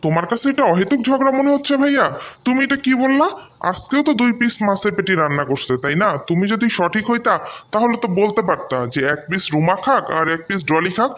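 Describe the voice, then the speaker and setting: A man's voice: sits high, lively intonation, fast, a bit bright. A man voicing a defiant, protective young brother in a cartoon story, argumentative and insistent, with a Bangladeshi accent. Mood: indignant. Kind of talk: amateur cartoon story dubbing.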